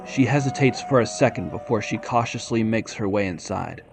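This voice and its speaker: A man with a fairly low voice narrates a scripted audio drama. He tells the story in descriptive prose over clearly audible music. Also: normal speed.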